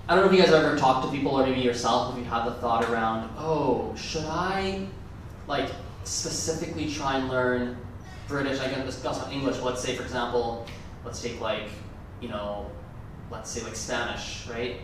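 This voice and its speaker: A man's voice: medium pitch, normal speed, noisy background. A man giving a casual, rambling conference talk, often correcting himself mid-sentence. His first language is Gujarati. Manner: chatty, rambling, self-correcting. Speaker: conference speaker. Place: large conference room. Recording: echoey hall, mic from far off.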